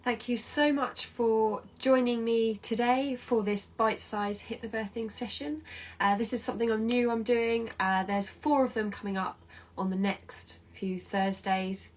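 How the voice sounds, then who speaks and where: A woman's voice: a little high, steady tone, a little slow, a little hiss or hum. A woman with a British accent, stuffy and nasal from a cold, giving a chatty hypnobirthing lesson with plenty of ums. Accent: British English. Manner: chatty, encouraging, with many ums. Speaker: hypnobirthing educator. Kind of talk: informal online teaching session.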